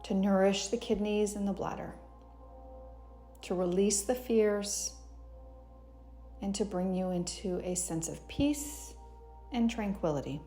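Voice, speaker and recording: A woman guiding a yoga meditation in a soothing, gentle, unhurried way, as a teacher giving calm instructions. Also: mid-range voice, faint music behind. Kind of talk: guided meditation narration.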